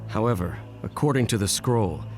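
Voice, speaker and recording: Man voicing a low, stern ninja father. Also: expressive, natural voice. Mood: grave, explanatory. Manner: measured, serious, matter-of-fact. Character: stern, composed ninja father and seasoned investigator. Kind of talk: anime video game cutscene acting. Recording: background music under the dialogue.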